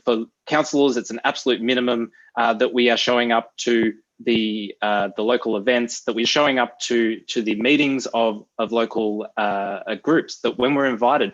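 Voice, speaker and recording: A man, a council candidate answering with halting speech and many restarts. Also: medium pitch, a bit bright. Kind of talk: unscripted town hall answer. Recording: muffled, dull sound.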